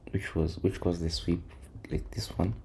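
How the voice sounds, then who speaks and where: Male trader for casual screen-share commentary: deep, flat delivery, low energy, hesitant, with clear background noise and keyboard sounds. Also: unhurried. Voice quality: low, creaky voice. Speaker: trader recording a backtesting session. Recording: noisy room, keyboard and typing sounds.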